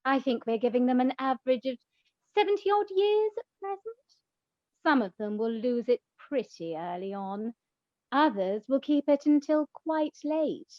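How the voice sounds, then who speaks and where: A woman playing a teasing, theatrical goddess of love in a comic radio play, with mocking, drawn-out emphasis. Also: high voice, expressive, dry recording, highs cut off. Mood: mock sympathy.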